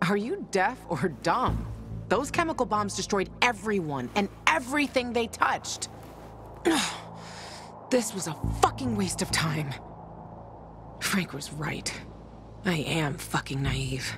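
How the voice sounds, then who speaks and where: An actress playing a tough, jaded survivor in a video game, blunt, sardonic and profane. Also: female voice, medium pitch, lively intonation, slow pace, pauses often, over music. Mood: bitter, frustrated. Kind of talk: video game dramatic acting.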